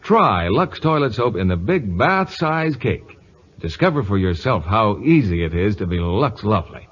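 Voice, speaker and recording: A man with a husky voice announcing and advertising on a 1950s radio show. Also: fairly low pitch, sing-song, normal speed, fairly bright tone. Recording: muffled old radio broadcast recording.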